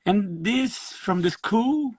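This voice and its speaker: A middle-aged man from Brazil, a guest musician telling his story in a casual interview over a video call, halting and restarting his phrases. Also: high-pitched, lively intonation, no echo, studio quality.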